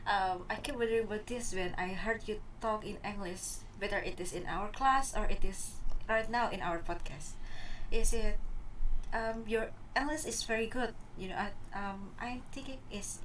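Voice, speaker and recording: A young woman with an Indonesian accent and a mid-range voice asks questions in English, pausing and restarting as she goes. She sounds a little distant from the mic, with faint hiss behind her. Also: medium pitch, fairly bright tone. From West Kalimantan, Indonesia. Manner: curious, halting. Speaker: student podcast host. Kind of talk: casual chat.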